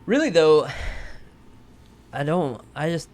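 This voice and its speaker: A man's voice: a little high, sing-song, takes it slowly, a little mellow. Laid-back swearing podcast host, man. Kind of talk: casual chat.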